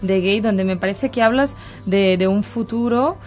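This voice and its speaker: A woman with a Catalan accent, presenting and interviewing on the radio in a clear, measured way. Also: mid-range voice, darkish tone. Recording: dull lo-fi radio sound with faint music.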